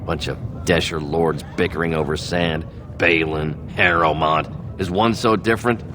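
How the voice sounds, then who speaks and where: A man voicing a stern, short-tempered dwarven guard captain in a fantasy video game, with a gravelly, curt and commanding delivery. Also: very deep voice, fairly even intonation, measured pace, noisy background. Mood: gruff, dismissive. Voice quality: gravelly tough-guy tone.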